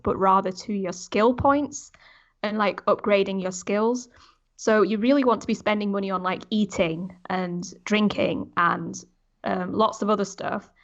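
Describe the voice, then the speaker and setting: Dull lo-fi recording, woman chatting casually. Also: medium pitch. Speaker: podcast panelist. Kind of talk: casual gaming discussion. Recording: dull, band-limited voice audio.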